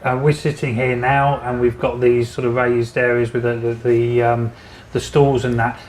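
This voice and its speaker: A man's voice: mid-range voice, flat delivery, normal speed, echoey room, poor audio. A man hosting a casual local interview as an estate agent, rambling with many fillers and restarts. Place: indoors in a new deli.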